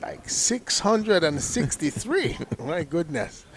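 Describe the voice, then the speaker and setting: A man's voice: on the high side, very animated, slow, slight background noise. A man giving live cricket commentary in a chatty way, often repeating words as he restarts a sentence.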